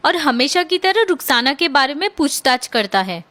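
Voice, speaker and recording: Lively, high-voiced woman storyteller. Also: moderate pace, soft background music. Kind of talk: scripted children's story narration.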